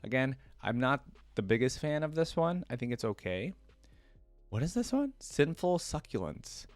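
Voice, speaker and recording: A man's voice: mid-range voice, very animated, moderate pace, no echo, faint music behind. A man hosting a reaction video, commenting casually and informally, thinking aloud as he browses and reads.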